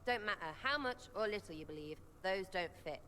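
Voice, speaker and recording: A woman's voice: medium pitch, lively intonation, fairly quick, sharp tone, in a large hall, a little hiss or hum. A woman playing a brash, blunt young archer in a video game, slangy and scornful. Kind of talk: video game character dialogue.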